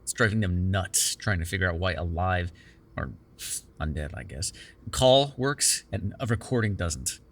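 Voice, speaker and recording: A man's voice: low voice, animated, measured pace, a bit bright, exaggerated voice. A man playing a sardonic but caring captive vampire in an immersive ASMR roleplay, talking in a wry, teasing, profane conversational way. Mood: wry, musing. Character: sardonic, caring immortal male vampire held captive in cuffs.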